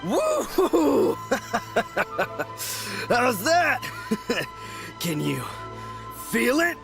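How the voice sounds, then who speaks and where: A man's voice: very high-pitched, huge pitch swings, drawn-out words, full character voice. An actor playing a crazed young man in a video game, theatrical and strained, drawing out his words. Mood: manic boasting. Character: crazed young man split between macho boasting and pleading. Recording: clear music under the voice.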